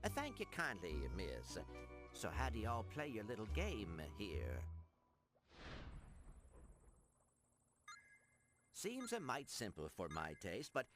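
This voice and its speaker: An actor playing a small, polite, earnest robot secret agent in a video game, with formal, precise diction. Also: male voice, fairly low pitch, huge pitch swings, unhurried, over music. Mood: folksy, unruffled. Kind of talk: video game cutscene acting.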